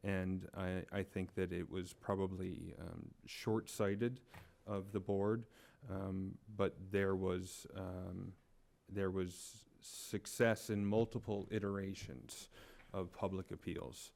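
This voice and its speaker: A man with a very low, gravelly voice talks at a town board meeting. He keeps an even, flat tone and chooses his words with care, pausing on fillers. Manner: measured, careful, some fillers. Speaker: board member. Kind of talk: public meeting discussion.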